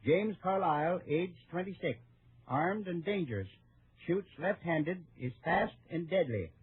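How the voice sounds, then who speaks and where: An actor playing a stubborn, chatty Old West postmaster, husky, with an American accent and folksy banter, in radio Western style. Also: male voice, a little high, animated, measured pace, full character voice. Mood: folksy, unworried. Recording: tinny vintage radio recording.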